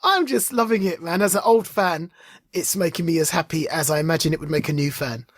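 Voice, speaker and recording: A man co-hosting a podcast with casual fan banter, informal, playful and excitable in the way he talks. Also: on the high side, brisk, dry recording, clean studio sound. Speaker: podcast co-host.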